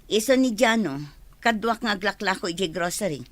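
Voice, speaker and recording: Animated, uneasy woman shopkeeper, acted. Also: medium pitch, brisk, dry recording, lightly acted. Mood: defensive. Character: uneasy, defensive woman grocery seller. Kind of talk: audio drama acting.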